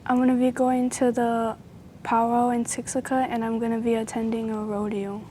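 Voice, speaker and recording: Shy young woman on a tv interview, short answers, flat mellow voice, bit of room noise. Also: fairly high pitch, normal speed. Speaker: interview guest, powwow princess.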